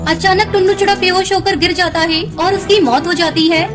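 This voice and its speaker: Very high-voiced woman narrating children's stories. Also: fairly even intonation, fairly quick, over music. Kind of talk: scripted children's story narration.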